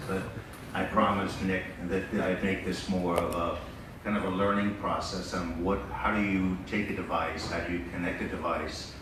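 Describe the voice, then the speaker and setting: A middle-aged American man talks through a business presentation in a deep, level voice. He speaks loosely and conversationally, leaning on fillers as he goes. The sound comes from across a roomy, echoing hall over a steady layer of noise. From United States. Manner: relaxed and conversational, with frequent fillers. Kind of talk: conference presentation. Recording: echoey hall, distant mic, background noise.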